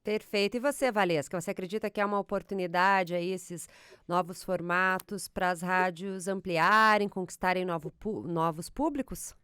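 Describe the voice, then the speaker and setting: Smooth-voiced Brazilian woman host. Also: lowish voice, moderate pace, a bit bright, no room sound, studio quality. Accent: Brazilian Portuguese. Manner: long hedged questions with fillers. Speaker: podcast host. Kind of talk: interview questions.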